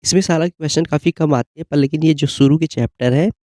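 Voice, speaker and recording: A man with a fairly high, slightly mellow-dark voice explains study material as an exam coach. He talks informally and keeps adding short check-in questions. The sound is very dry, with no room echo. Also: fast talker. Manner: repeated check-in tags like "okay?". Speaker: exam-prep teacher.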